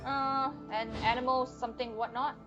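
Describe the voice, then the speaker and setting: Higher-voiced woman streaming a game. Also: level tone, moderate pace, highs cut off. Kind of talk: casual live game commentary. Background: game music.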